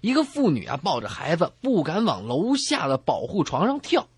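Male radio co-host for joke segments, animated delivery, dry close studio sound. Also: fairly high pitch, normal speed, husky, no echo. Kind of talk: comic banter and storytelling.

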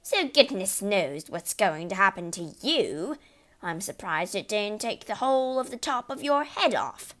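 A woman's voice: medium pitch, big pitch swings, a little slow, a bit bright. A woman voicing a scornful, scolding storybook mother, exasperated and apt to shriek at her husband. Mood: withering.

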